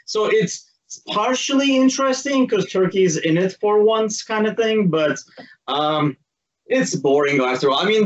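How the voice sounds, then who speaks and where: A man's voice: a little high, dull audio. A man chatting casually and informally in a rambling way, as a panelist on a sports podcast. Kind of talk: casual chat.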